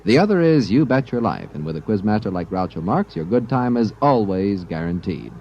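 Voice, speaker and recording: A man's voice: fairly low pitch, sing-song, brisk, a little hiss or hum. A man with an American accent reading a scripted 1950s radio promo. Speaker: radio announcer. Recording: dull old radio recording.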